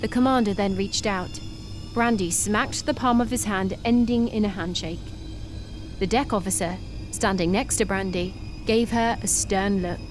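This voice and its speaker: Woman reading out a space adventure story, steady delivery, hiss in the background. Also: mid-range voice, normal speed. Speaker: narrator. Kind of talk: scripted story narration.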